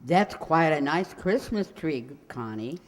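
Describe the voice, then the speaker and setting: A woman's voice: low voice, animated, slow, full character voice. A woman playing a sweet, forgetful elderly landlady who dithers and rambles in a radio comedy. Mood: kindly. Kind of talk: radio comedy acting, old-time sitcom revival. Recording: very reverberant hall sound.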